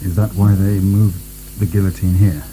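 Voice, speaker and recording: A man's voice: low-pitched, little pitch movement, moderate pace, noisy background, lightly acted. A man acting in a radio drama as a weary, wry imprisoned French nobleman who speaks in a musing, hesitant way. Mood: subdued. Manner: hesitant, musing, wryly ironic.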